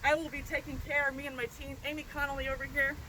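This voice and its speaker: A high, bright-voiced woman professor addresses a gathered crowd outdoors with eager, talkative energy, often asking "right?". Steady noise and passing traffic sit under her voice in this open-air recording. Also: quick pace, low-quality recording. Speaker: professor and nonprofit founder. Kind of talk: outdoor public speech. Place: outdoors at a historic site.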